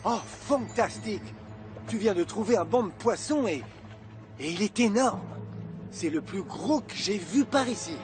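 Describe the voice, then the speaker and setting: Easygoing cartoon fisherman dad, bit high, stammery n sheepish, music behind. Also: animated, a little slow. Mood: eager. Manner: hesitant, stammering when flustered. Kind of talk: animated children's cartoon dub.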